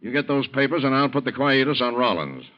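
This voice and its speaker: An American actor in an old-time radio drama, playing a coldly menacing blackmailer who gives domineering orders. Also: male voice, mid-range voice, expressive, dull audio, lightly acted. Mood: menacing, commanding. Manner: domineering, deliberate threats.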